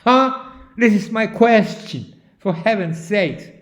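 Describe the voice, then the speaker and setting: Slow, emphatic Finnish-accented man. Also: sits high, lively intonation, roomy sound. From Finland. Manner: slow, deliberate, loud and emphatic. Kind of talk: direct-to-camera monologue.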